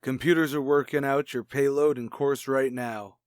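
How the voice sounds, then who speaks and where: A man acting a brisk, authoritative space fleet commander in a retro radio drama. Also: mid-range voice, fairly even intonation, dry recording, clean studio sound, close to an everyday voice. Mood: businesslike. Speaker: announcer and actor in an audio drama.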